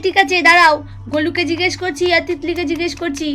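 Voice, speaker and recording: A woman's voice: extremely high pitch, flat delivery, fast, sharp tone, faint music behind. A woman voicing an anxious villager searching for a missing relative, with urgent questioning, in a puppet cartoon. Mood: worried. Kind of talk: Bengali puppet cartoon voice acting.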